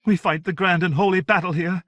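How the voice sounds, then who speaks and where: A tiny, zealous young maiden who speaks of holy battles in high, ringing tones, as a male narrator puts her on. The delivery is fervent and declaiming. The sound is dry and close. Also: steady tone, a little fast, fairly bright tone, no room sound. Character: Joan of Arc as a tiny zealous young woman. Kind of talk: audiobook character voice.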